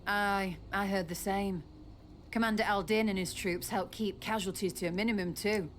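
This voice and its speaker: An actress voicing a wary townswoman in a video game, speaking in a measured way. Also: medium pitch, fairly even intonation, a little hiss or hum, lightly acted. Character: wary adult townswoman, relieved but uneasy.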